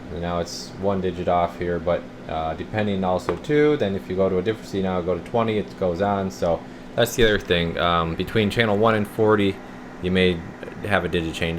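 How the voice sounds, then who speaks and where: A man's voice: lowish voice, noisy background. A man giving a casual, rambling hands-on walkthrough as a radio technician, thinking aloud as he works.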